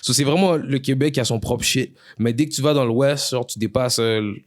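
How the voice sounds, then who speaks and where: A man's voice: mid-range voice, normal speed. Quebec man in loose slangy chat. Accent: Quebec French. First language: a language other than French or English. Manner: loose, slangy, mixes English into his French, frequent restarts. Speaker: guest rapper. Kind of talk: casual podcast conversation.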